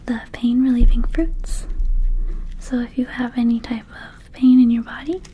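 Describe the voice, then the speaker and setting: Friendly, scatterbrained female vampire shopkeeper acted by a woman, ASMR roleplay; soft mellow whisper, slow and hesitant. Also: on the high side, rough recording. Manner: slow, hesitant, casual, confiding. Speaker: ASMR performer.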